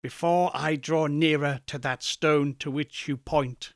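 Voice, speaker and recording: A man's voice: a little high, steady tone, measured pace, dry recording, studio quality. A man voicing a frightened old miser who begs a ghost for answers, earnest and beseeching in an audiobook reading. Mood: fearful begging. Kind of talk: audiobook character voice.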